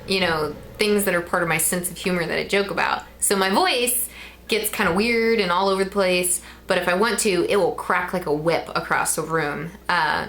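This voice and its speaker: A young woman in her twenties talks casually to camera in a Midwestern American accent, rambling along with plenty of ums. Her voice sits a little low for a woman, and a faint hiss runs under the recording. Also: a bit bright. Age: 20s. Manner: chatty, rambling, with frequent ums. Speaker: vlogger.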